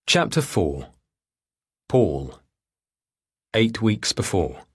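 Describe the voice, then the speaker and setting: Audiobook narrator, male, deep register with a husky grain, deliberate delivery of scripted thriller prose. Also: sing-song.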